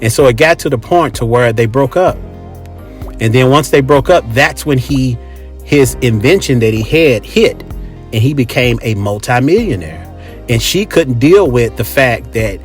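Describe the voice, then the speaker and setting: An American man hosting a podcast, giving a casual, opinionated monologue in an emphatic, repetitive, slangy way. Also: medium pitch. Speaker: podcast host.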